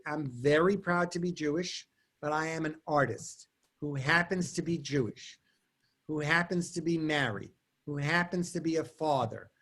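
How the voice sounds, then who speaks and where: Fast-talking man on a video call. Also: on the high side, a bit bright. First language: German. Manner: quick, digressive storytelling with restarts. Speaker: guest artist and lecturer. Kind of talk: answering questions in an online talk.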